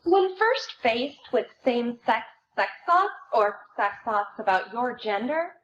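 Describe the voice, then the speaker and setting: A woman's voice: on the high side, sing-song, slow. A woman giving a dramatic reading of a book excerpt at a live event. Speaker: theater reader. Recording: dull recording with clear background noise.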